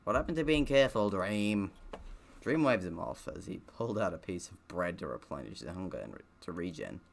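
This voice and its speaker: A man's voice: low voice, lively intonation, brisk, vocal fry. A man who streams games, reading a visual novel aloud, stumbling a little and dropping in offhand, self-deprecating comments. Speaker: gaming streamer.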